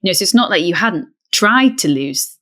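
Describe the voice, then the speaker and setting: A woman's voice: fairly low pitch, lively intonation, normal speed, a bit bright. A woman hosting a podcast interview, upbeat and encouraging as she introduces and questions her guest. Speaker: podcast host, coach.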